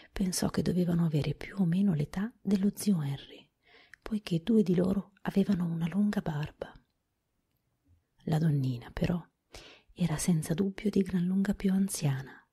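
A woman reading a storybook aloud in a steady, soft whisper. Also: on the low side, gravelly, slightly rough.

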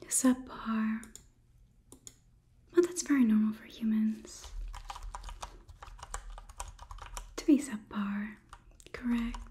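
A young woman playing a polite, condescending robot overlord in a soft, breathy whispered ASMR roleplay, clinical and curious. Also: on the high side, slight background noise, natural voice. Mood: mildly condescending. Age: 20s. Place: small indoor studio set. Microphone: close ASMR microphone.

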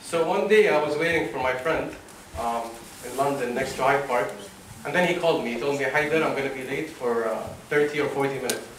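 A young man from Oman giving a motivational talk to a seated audience, animated and laughing at his own stories. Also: mid-range voice, a little fast, few pauses, slight background noise. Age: young adult. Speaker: motivational speaker. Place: wood-panelled lecture room with a seated audience. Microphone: room mic at a distance while he walks the stage. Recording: echoey hall from far off.

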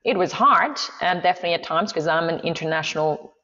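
A middle-aged woman speaks enthusiastically as a guest, pausing with frequent fillers as she thinks aloud. Her voice sits on the low side for a woman. The remote call audio sounds slightly dull and muffled. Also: animated, bright, forceful tone. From Switzerland. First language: Swiss German. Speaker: movement coach, podcast guest. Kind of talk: casual interview talk.

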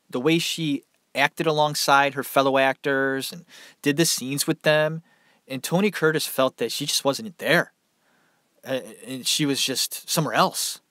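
A man chats through a long story for his podcast, rambling and loose, with plenty of filler words. His voice sits a little high and sounds dry and worn from talking. The sound is close and dead, with no room echo. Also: sharp tone. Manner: rambling, conversational, many fillers. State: dry mouth, tiring voice. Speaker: podcast host. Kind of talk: casual solo storytelling. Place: small room at home.

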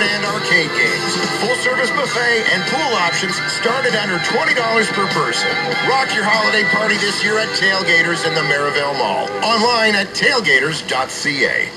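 A man's voice: high-pitched, poor audio. A man reading a scripted radio commercial as an announcer. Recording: loud music under the voice.

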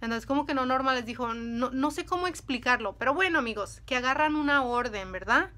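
Woman in her 30s telling a story to camera at home, bright voice, pitched a bit high, lots of hand waving. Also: a little fast. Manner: animated, talks with her hands, addresses viewers as friends. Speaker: storytime vlogger. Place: indoors at home, in front of a dresser. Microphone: camera at close range.

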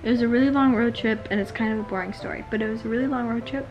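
A woman's voice: on the high side, steady tone, very fast, lo-fi sound, music in the background. A teenage young woman chatting casually and telling stories, with playful, teasing interjections. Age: teens. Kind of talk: casual chat, storytelling. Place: living room couch, indoors. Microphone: compact camera mic at about arm's length.

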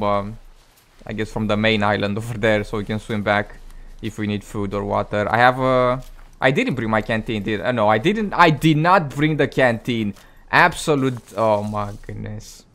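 A man's voice: mid-range voice, a bit bright, slight background noise. Chatty man with a cracking voice. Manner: chatty, self-deprecating, talks to viewers. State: voice cracking, going hoarse. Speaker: gaming channel host. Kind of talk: casual gameplay commentary.

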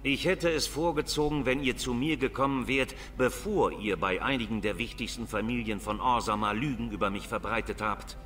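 A dignified elderly dwarf nobleman from a fantasy game, played by a male actor. He speaks formally and sternly in a mid-pitched, measured voice, with faint noise behind him. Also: fairly bright tone, close to an everyday voice. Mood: guarded, stern. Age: older. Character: dignified, guarded old dwarf lord. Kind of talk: video game voice acting.

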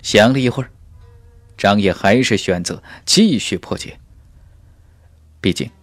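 A man's voice: lowish voice, measured pace, no room sound, soft background music. A man narrating a fantasy novel as a scripted audiobook, with a dramatic storytelling delivery.

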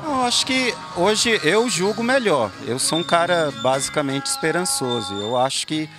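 A man's voice: a little high, expressive, moderate pace. A man from Brasília, a bandleader, answering interview questions in a reflective way, often thinking aloud. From Brasília, Brazil. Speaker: musician, bandleader. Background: band rehearsal music.

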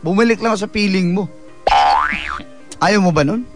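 A man's voice: high-pitched, moderate pace, close to an everyday voice. A man acting in a Filipino radio drama as a hot-tempered, abusive gambling husband who badgers and taunts aggressively. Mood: defensive, belligerent. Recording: loud music behind the voice.

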